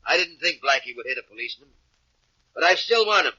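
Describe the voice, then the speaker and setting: An actor playing an ambitious, brusque police inspector with a commanding, clipped manner in 1940s radio drama. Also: male voice, a little high, animated, fast, sharp tone, husky, heavily acted. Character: brusque, ambitious police inspector eager to catch his man. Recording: dull, lo-fi old radio recording.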